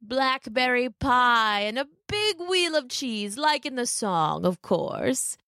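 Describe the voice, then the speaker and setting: A woman voicing a sly, smooth-talking male wolf in a read-aloud storybook, drawing out his words slowly and coaxingly. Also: on the high side, big pitch swings, sharp tone, dry recording, clean studio sound, pitched above the performer's own voice, exaggerated voice. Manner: slow, coaxing delivery.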